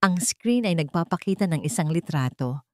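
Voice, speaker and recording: A woman with a Filipino accent narrating a scripted story in a dramatic, deliberate storytelling manner. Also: on the low side, animated, a little mellow, dry recording, studio quality.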